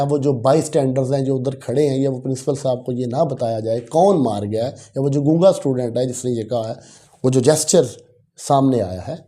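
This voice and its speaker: Male lecturer, Pakistani accent, lively rise and fall in a fairly high voice, didactic, restates key phrases. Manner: didactic, repeats and rephrases key phrases for emphasis. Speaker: law lecturer. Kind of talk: exam lecture explaining a legal text, switching between Urdu and English.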